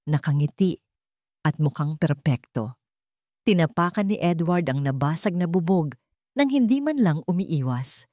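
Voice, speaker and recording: Low-pitched Filipino woman narrating a story. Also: darkish tone, no room sound, clean studio sound. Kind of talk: scripted dramatic story narration.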